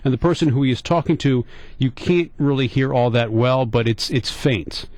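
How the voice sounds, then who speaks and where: Man, middle-aged, American accent, casual talk radio host, mid-pitched, repeats for emphasis, dry studio. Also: moderate pace, a little mellow, no echo.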